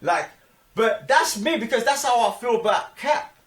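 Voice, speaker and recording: A man's voice: very high voice, expressive, normal speed, recorded from a distance, crowd noise. A man with a London accent chatting casually about a film with friends, slangy and repeating phrases for emphasis. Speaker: film review podcast co-host. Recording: roomy echo, off the microphone.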